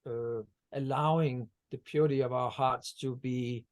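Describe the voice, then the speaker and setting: A man's voice: mid-range voice, fairly even intonation, unhurried. A man from Denmark, a guest on a video-call interview, talking about energy healing in a meandering way with many fillers and restarts. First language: Danish.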